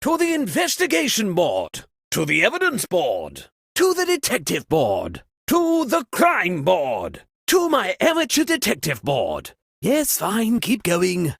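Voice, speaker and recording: A lordly host of a murder-mystery video game, theatrical and sardonic. The pitch swoops dramatically from line to line, and the delivery is drawn out, with a slightly husky edge. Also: female voice, huge pitch swings, unhurried, dry recording, studio quality, full character voice. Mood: dry, sardonic.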